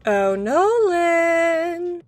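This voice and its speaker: Confident teasing cartoon girl in young adulthood, female actor, high register, slow swooping delivery. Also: sing-song, dry recording, soft background music, heavily acted. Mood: menacing sweetness. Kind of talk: cartoon comic dub.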